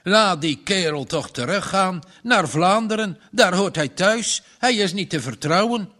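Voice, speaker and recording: A man doing a gruff, growling old farmer. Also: sits high, expressive, measured pace, sharp tone, voice pushed up, full character voice. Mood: scornful. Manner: harsh, scolding, growling.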